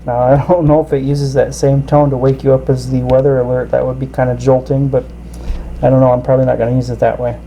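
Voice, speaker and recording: A man explains a product in a casual, halting way. His voice is mellow and sits at a middling pitch. There is faint noise in the indoor recording. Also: flat delivery, low-quality recording. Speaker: product reviewer. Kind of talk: casual gadget review demonstration.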